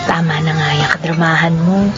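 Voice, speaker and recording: A woman's voice: low-pitched, fairly even intonation, a little fast, dull audio, natural voice. An actress playing a conflicted young woman in love who resists, emotional and reproving, in a romantic radio drama. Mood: teasing, hesitant. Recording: loud music under the voice.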